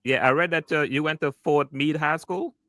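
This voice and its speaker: A man's voice: on the high side, steady tone, normal speed, sharp tone, no room sound, clean studio sound. A man hosting a podcast interview, chatting casually and enthusiastically, often repeating himself. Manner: enthusiastic, repeats words like "wow" and "okay". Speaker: podcast host.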